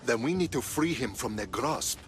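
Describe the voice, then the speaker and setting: An actor playing a level-headed, principled Spartan military man in a video game, measured and reasoning. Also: medium pitch, lively intonation, lightly acted. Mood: grave, reasoning. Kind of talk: video game dramatic acting. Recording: music under the dialogue.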